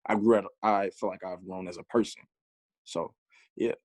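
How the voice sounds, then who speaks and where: A man's voice: low-pitched, steady tone, normal speed, a little mellow, no room sound. A man in his mid twenties, a gym teacher answering interview questions in an easygoing, reflective way. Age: 20s. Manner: easygoing, reflective, light laughs. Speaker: physical education teacher, guest.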